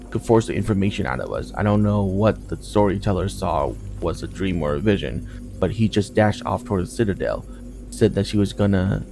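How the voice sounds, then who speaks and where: Male gamer, low creaky voice, casual gameplay commentary and read-aloud dialogue over music. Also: mellow. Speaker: video game player.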